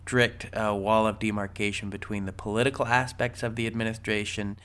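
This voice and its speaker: A man's voice: lowish voice, flat delivery, a little fast, fairly bright tone, clean tone. A man with a cold, sniffly, co-hosting a casual podcast and rambling through the news with many ums and restarts. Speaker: podcast co-host.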